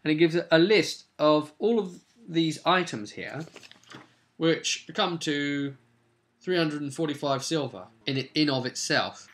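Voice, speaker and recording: A man casually recapping his tabletop game as game master, rambling and breaking off into animated asides to viewers. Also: mid-range voice. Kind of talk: casual video recap, storytelling.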